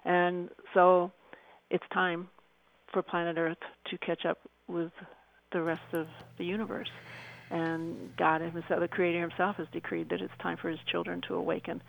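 A middle-aged woman explaining earnestly as a radio interview guest, halting and repeating phrases for emphasis. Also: lowish voice, level tone, poor audio.

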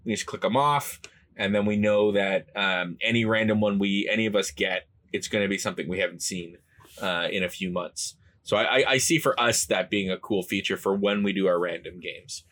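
Low-voiced easygoing man chatting. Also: a little fast, fairly bright tone. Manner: easygoing, chuckling, teasing. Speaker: podcast co-host. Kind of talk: casual chat about game patch notes.

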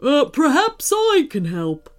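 A woman's voice: high voice, huge pitch swings, slow pace, voice pushed up, heavily acted. A woman voicing a small, polite, nervous male teddy bear in a children's story, speaking hesitantly.

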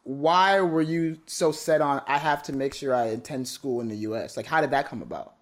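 A man hosting a casual interview show, easygoing and quick to react. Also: mid-range voice. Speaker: podcast host.

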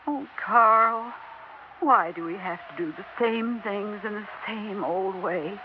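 An actress playing an anxious older wife and mother in a 1960s radio drama, slow and pleading. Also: mid-range voice, a little hiss or hum, natural voice. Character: anxious elderly widow Agatha, bitter yet pleading. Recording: dull vintage radio recording.

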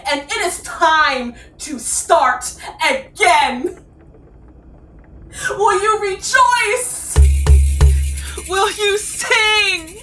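An actress playing a fierce, righteous, wronged woman, declaiming a poetic stage monologue deliberately and like an incantation. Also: extremely high pitch, bright, forceful tone, faint music behind, full character voice. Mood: triumphant, prophetic. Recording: roomy, distant microphone, faint noise.

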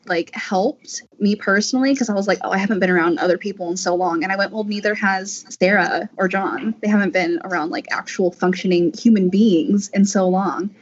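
Woman, mid pitch, husky edge, reflective casual panel talk, voice actor, slightly dull audio. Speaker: voice actor discussing an audio drama.

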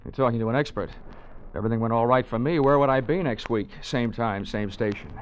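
An American actor playing a wry, hard-boiled insurance investigator who narrates and talks in a 1940s radio detective drama. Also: male voice, medium pitch, a little fast, a little hiss or hum, lightly acted. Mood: wry, curious. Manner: wry, wisecracking delivery. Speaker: lead actor and first-person narrator. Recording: muffled 1940s radio recording with music.

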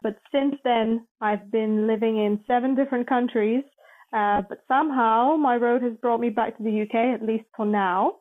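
This young woman from Latvia speaks natively and is a guest on a podcast, talking from home during lockdown. Her slightly high voice comes through a thin, phone-like line. She chats easily and often restarts mid-thought. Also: fairly even intonation, moderate pace. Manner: chatty, thinks aloud with small restarts. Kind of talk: casual interview. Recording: tinny phone-like call line.

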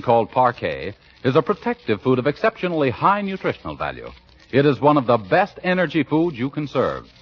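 An American man announcing in a formal, measured 1940s radio style. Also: medium pitch, breathy edge. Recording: muffled vintage radio broadcast recording.

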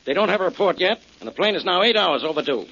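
An actor playing a skeptical, exasperated police inspector, gruff and sarcastic, in 1940s radio drama style. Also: male voice, high-pitched, expressive, fast talker, bright, forceful tone, full character voice. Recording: dull old radio recording.

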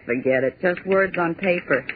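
A woman's voice: on the low side, moderate pace, close to an everyday voice. An actress playing a cheeky, grumbling young woman typist with a scoffing, wisecracking manner in 1940s radio drama style. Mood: teasing, scoffing. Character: cheeky, sarcastic young female script typist, grumbling and fearless. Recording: tinny, noisy 1940s radio recording.

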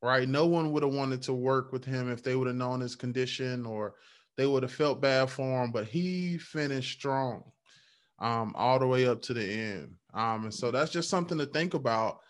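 A man's voice: mid-range voice, moderate pace. Reflective male podcast co-host. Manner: reflective, many "um"s and "right?" check-ins. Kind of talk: casual podcast chat.

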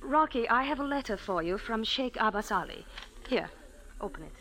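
An elegant young lady, soft refined delivery, higher pitch. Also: expressive, moderate pace. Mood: poised. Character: elegant young blonde woman, poised and gracious. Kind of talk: 1940s radio acting.

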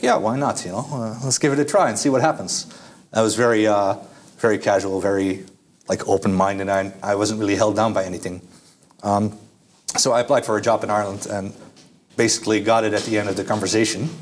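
A man's voice: fairly low pitch, fairly even intonation, fairly quick, slight background noise. A young Dutch man answering interview questions in a casual, hesitant way, with many fillers and restarts. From Netherlands. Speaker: guest.

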